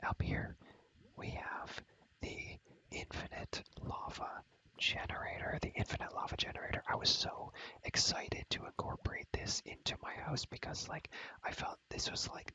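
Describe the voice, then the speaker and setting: A man's voice: normal speed, sharp tone, muffled recording. A man whispering an ASMR video game tour, rambling and repeating his phrases over and over. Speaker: video creator showing a Minecraft world.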